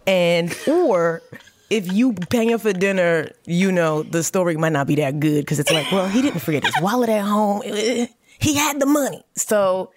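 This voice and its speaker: A woman guest on a podcast telling stories in a sassy, animated way. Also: mid-range voice, unhurried, noisy background. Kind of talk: casual podcast chat.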